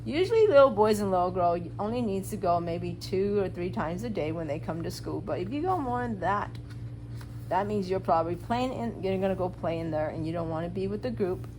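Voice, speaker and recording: A preschool teacher, a woman, reads a picture book to young children in an animated, instructive way, asking questions and explaining rules simply. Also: on the low side, brisk. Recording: clearly noisy room recording.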